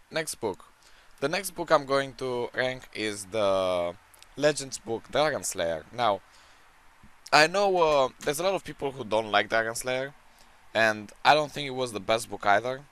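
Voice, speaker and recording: A man with a hoarse, strained voice giving casual, unscripted commentary as he ranks books, rambling and opinionated with many restarts. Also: on the low side, fairly bright tone. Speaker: book-ranking video creator.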